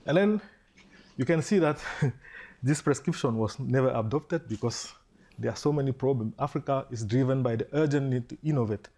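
A man's voice: mid-range voice, normal speed, warm tone. A man, giving an earnest public talk as a scientist who strings his points together with "so" and "and then". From Cameroon. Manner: earnest, builds up with frequent "so" and "and then".

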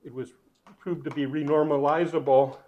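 A man with a somewhat high voice lectures on physics in a hall. He works things out aloud, stumbling over words and filling gaps with "uh." His volume rises and falls as he turns between the board and the room. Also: level tone, normal speed, muffled recording. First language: not Dutch. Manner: thinking aloud with restarts and fillers, varying loudness.

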